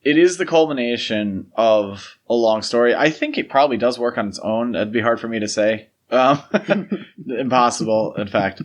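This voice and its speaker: A man co-hosting a podcast in casual film discussion, giving opinionated, emphatic points and often qualifying them as he goes. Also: mid-range voice. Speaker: podcast host.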